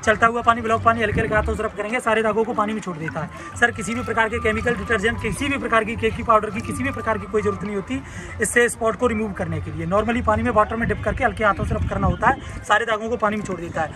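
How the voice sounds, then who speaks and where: Fast, very high-pitched male stall vendor. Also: steady tone. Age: young adult. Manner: rehearsed, repetitive listing pitch. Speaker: market stall vendor demonstrating products. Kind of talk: sales demonstration patter. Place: open-sided tented fair stall outdoors. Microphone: handheld camera a step or two away. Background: music.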